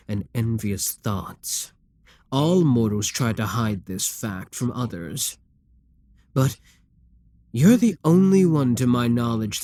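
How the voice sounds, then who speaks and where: An arrogant demon king, acted by a man, speaks at a very unhurried pace in a mid-range male voice. He is commanding and seductive, with a theatrical, manipulative delivery suited to a dramatic ASMR roleplay. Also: medium pitch, a little mellow, no room sound. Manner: deliberate, haughty, theatrical.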